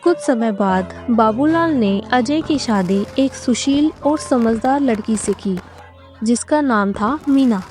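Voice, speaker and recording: A woman's voice: on the high side, animated, slow pace, darkish tone, faint music behind. A woman narrating a scripted cartoon story as a storyteller.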